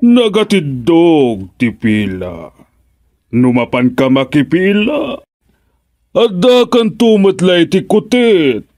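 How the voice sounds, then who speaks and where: A jovial, teasing old Filipino pal played by a man in a radio comedy. He drags his words out extremely slowly, with playful swoops in a fairly high male voice. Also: sing-song, a little mellow. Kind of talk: comedic radio drama acting.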